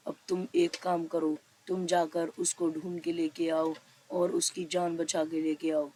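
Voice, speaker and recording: Woman voicing a very monotone village headman. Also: on the low side, a little slow, slight background noise, voice pushed down, lightly acted. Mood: urging. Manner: flat, resigned, repetitive ordering. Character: cautious, self-protective village headman.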